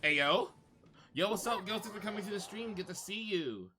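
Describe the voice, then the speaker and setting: A man's voice: high voice, a little fast, pushed and bright. A man chatting casually as he hosts a gaming stream, informal, friendly and slangy. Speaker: game livestreamer, host. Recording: faint game music behind. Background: game music.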